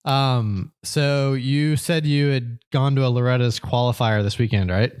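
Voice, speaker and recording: A man's voice: medium pitch, steady tone, a little slow, darkish tone, clear, resonant tone, no echo. Chill guy in his twenties asking questions, dry close sound. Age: 20s. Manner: laid-back, curious questions. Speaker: podcast co-host. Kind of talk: casual chat.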